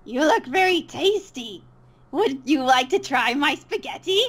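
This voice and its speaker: A woman's voice: high voice, expressive, measured pace, a bit bright, voice pushed up. A woman voicing a creepy, desperate cartoon skeleton man who is politely menacing. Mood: creepy menace. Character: creepy, hungry skeleton man offering sinister spaghetti.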